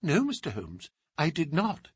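A man's voice: a little high, wildly swooping pitch, unhurried, breathy edge, no echo, full character voice. A man voicing a genteel lady of the house in an audiobook, earnest, proper and easily shocked. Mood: polite.

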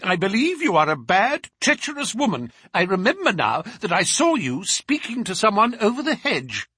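A man's voice: fairly high pitch, wildly swooping pitch, a little slow, a bit bright, husky, no room sound, clean studio sound, pitched above the performer's own voice, full character voice. A man voicing a genteel lady of the house in an audiobook, earnest, proper and easily shocked. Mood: reproachful.

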